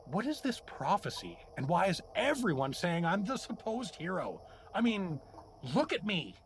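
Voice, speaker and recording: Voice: medium pitch, sing-song, moderate pace, natural voice. An actor playing a bewildered, gullible dad and reluctant hero in an audio drama, plain-spoken, naive and exasperated. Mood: baffled, wry. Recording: clear background noise and ambience.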